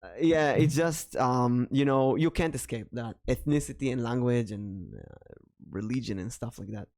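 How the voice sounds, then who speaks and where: A man's voice: medium pitch, expressive, moderate pace, gravelly, darkish tone. A man from Israel with a Hebrew accent, hosting a casual podcast and telling stories with frequent hesitations. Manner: storytelling, hesitant with many ums. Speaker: podcast host.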